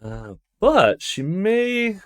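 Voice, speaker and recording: A man's voice: high voice, sing-song, drawn-out words, pitched above the performer's own voice, full character voice. Man as a reserved young student, musing with varied intonation. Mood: hesitant musing. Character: reserved young male student, wary, polite, inwardly musing.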